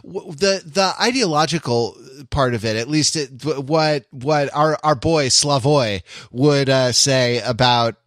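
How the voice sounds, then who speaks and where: A man co-hosting a podcast in a casual analytical discussion, speaking in halting, academic phrases and often checking in with his listeners. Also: mid-range voice, a bit bright, no room sound, studio quality. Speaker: podcast co-host.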